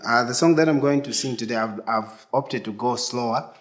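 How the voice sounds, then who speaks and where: A gospel musician from Zambia speaking gratefully and softly, thanking supporters and introducing his song. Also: medium pitch, moderate pace. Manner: grateful, soft-spoken. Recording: loud music behind the voice.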